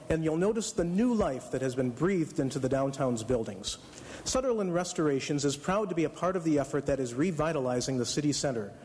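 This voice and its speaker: A man's voice: on the high side, a little mellow. A man delivering a scripted promotional message as a sponsor announcer on radio. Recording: echoey hall live broadcast.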